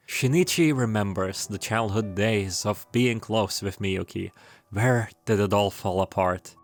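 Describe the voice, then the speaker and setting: A man's voice: mid-range voice, a bit bright. A man narrating in a casual, scripted video style, with wry, irreverent, slangy joking asides. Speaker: video essayist.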